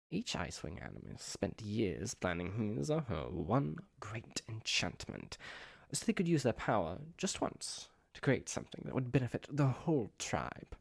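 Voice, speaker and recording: A man's voice: mid-range voice, vocal fry, no room sound, clean studio sound. A man playing a proud, bitter young ice dragon in an audio drama, lecturing pompously. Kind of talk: fan audio drama acting.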